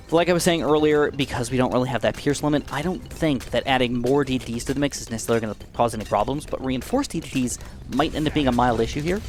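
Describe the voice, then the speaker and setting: A young man giving casual, excitable gameplay commentary, his voice a bit dry and raspy, swinging from upbeat outbursts to mumbled asides. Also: mid-range voice, a little fast, faint music behind. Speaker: gaming YouTuber.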